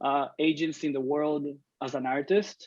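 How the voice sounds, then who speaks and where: A man's voice: a little high, fairly quick, darkish tone, no echo, dull audio. A man from Brazil, an artist speaking earnestly on an online panel, often restarting his sentences. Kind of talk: panel discussion. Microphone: video call.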